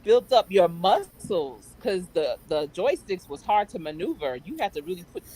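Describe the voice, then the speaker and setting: A woman's voice: fairly low pitch, very animated, normal speed, dark tone, breathy edge, poor audio. A woman in her fifties chatting nostalgically and laughing often in a casual group chat. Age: 50s.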